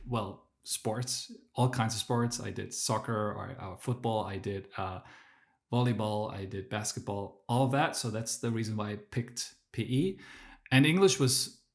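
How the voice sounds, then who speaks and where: A man's voice: lowish voice, unhurried. A man whose native language is German, telling his story as a podcast guest, with many fillers and restarts. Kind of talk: casual interview storytelling.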